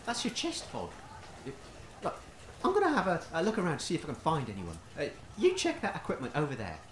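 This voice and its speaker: An actor playing a timid, fussy, kind-hearted radio host in an audio comedy, hesitant and stammering. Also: male voice, fairly high pitch, wildly swooping pitch, fairly quick, a little hiss or hum. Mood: mild, puzzled.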